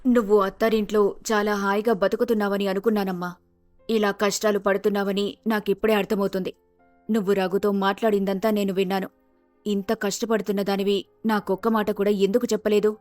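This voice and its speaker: A woman's voice: mid-range voice, flat delivery, fairly quick, a little mellow, dry recording, soft background music, lightly acted. A woman voicing a concerned, earnest middle-aged widowed mother in a family moral story.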